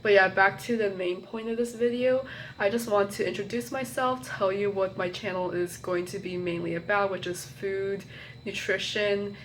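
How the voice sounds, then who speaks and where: A woman's voice: medium pitch, fairly even intonation, moderate pace, slight background noise. A young woman talking to her phone camera as a college student vlogger, hesitant and apologetic, with many fillers and restarts and a slightly nasal tone. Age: young adult. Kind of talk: casual rambling chat to camera.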